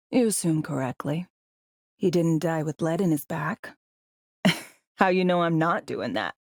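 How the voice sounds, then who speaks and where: A woman's voice: low-pitched, dark tone, dry recording, studio quality. A woman voicing a tough, sardonic, world-weary government secret agent in a video game, with a blunt, slangy, wry way of talking. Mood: wry, menacing. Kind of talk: video game character dialogue.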